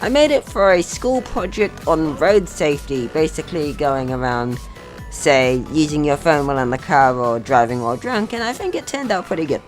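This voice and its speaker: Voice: medium pitch, lively intonation, normal speed, faint music behind. A young person narrating a scripted story in a mock-serious way. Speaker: video creator narrating own short film.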